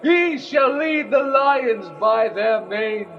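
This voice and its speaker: A man's voice: very high voice, lively intonation, slow pace, faint music behind, exaggerated voice. A man playing a fervent prophet in an audio drama, proclaiming solemnly, with dull, muffled sound. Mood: solemn fervor. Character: fervent male prophet proclaiming a coming saviour. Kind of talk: declamatory audio drama acting.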